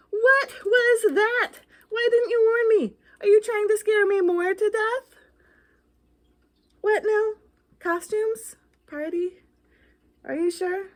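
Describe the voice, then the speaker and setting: A woman's voice: very high voice, bright, forceful tone, voice pushed up, full character voice. A woman voicing a jumpy, bluffing little ghost in a children's picture book, theatrical and full of anxious questions. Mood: frightened, flustered.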